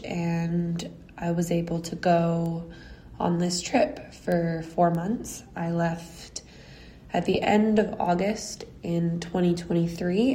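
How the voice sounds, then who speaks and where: A woman in her early twenties from Canada, telling a measured, reflective story about her time studying abroad. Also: on the low side, dark tone, slight background noise. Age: early 20s. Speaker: guest.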